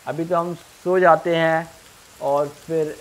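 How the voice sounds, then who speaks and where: A man's voice: on the high side, fairly even intonation, slow, poor audio. A young man giving casual let's play commentary, thinking aloud and repeating himself as he plays. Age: young adult. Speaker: gaming streamer.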